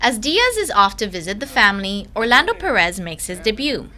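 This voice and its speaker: A woman's voice: medium pitch, very animated, a little fast, background noise. A woman narrating a news feature as a reporter, in an upbeat, friendly scripted delivery.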